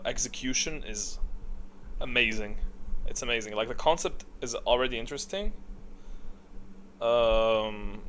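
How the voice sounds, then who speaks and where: A man with a mid-pitched voice talks through his rankings as a gaming video creator, casual and opinionated. He rambles and thinks aloud, using plenty of gamer slang. There is audible noise behind him. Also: a bit bright. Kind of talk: casual opinionated tier-list commentary.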